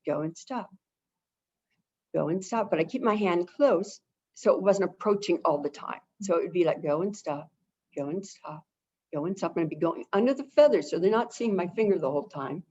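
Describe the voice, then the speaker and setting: Calm, gentle woman on a dull line. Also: lowish voice, moderate pace, breathy edge. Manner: calm, gentle, repeats phrases for emphasis. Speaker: avian behavior expert, webinar guest. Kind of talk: casual explanatory talk. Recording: dull lo-fi webinar audio.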